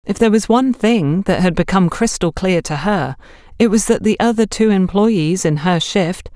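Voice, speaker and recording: A woman's voice: medium pitch, normal speed, darkish tone, no room sound, clean studio sound. A woman reading a scripted story as an audiobook narrator.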